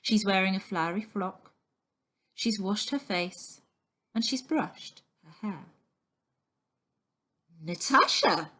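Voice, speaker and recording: A woman's voice: mid-range voice, a little slow. A woman reading a children's novel aloud as scripted narration. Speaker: story reader.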